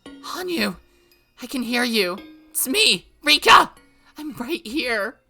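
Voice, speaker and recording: Lady playing a scared bossy girl yelling loud and high, bright voice, faint music. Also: very animated, slow pace, slightly rough, exaggerated voice. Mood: frantic. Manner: shouting, frantic, bossy. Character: frightened, demanding young girl trapped alone.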